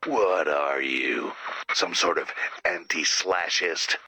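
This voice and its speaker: Very deep, harsh monstrous slasher. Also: male voice, slow pace, sharp tone, no echo, full character voice. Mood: dry, sullen. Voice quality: gravelly, rasping growl. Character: monstrous male slasher killer, brooding, wounded, easily hurt. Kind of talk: video game character acting.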